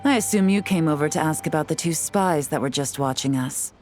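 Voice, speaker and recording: Game role: a cool, unhurried woman agent with a lower voice, played by a woman. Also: close to an everyday voice. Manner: calm, measured, dryly explanatory. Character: cool, composed female intelligence agent. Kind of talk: video game voice acting. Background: soft game music.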